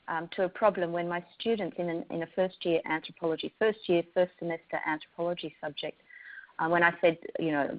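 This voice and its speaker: A woman with an Australian accent, a university lecturer giving a thoughtful talk with frequent ums and restarts. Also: fairly low pitch. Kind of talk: prepared talk to educators. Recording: slightly dull lo-fi recording.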